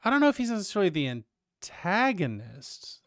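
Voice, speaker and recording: A man's voice: high voice, wildly swooping pitch, moderate pace, a bit bright, no echo. A man giving casual live commentary on a game as a streamer, musing and joking as he goes. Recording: game music faint behind voice.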